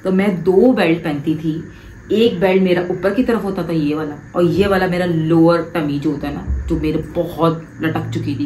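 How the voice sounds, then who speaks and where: A woman's voice: low voice, reverberant, slight background noise, rough recording. A woman, giving direct, coaxing advice to viewers in a casual vlog from her living room. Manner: animated, gestures a lot with both hands. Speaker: vlogger. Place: living room, seated on a sofa.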